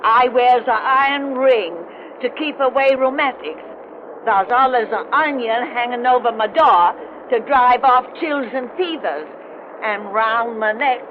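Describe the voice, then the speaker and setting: An actress playing a gleeful, folksy ancient witch who tells horror tales in old radio style. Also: fairly high pitch. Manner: folksy, ungrammatical, cackling, teasing. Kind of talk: 1930s radio horror acting. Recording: dull, noisy old radio broadcast.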